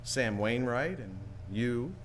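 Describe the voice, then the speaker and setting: A man's voice: medium pitch, animated, takes it slowly, slight background noise. An actor playing a restless, stubborn young small-town man, stammering and bursting out in a 1940s-style radio play. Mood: awkward, defensive. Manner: stammering, hesitant, then bursting out.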